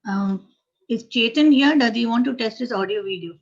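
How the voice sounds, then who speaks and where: A woman with a fairly high voice coordinates an online meeting. She speaks in practical checks and instructions, through slightly dull call audio. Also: normal speed.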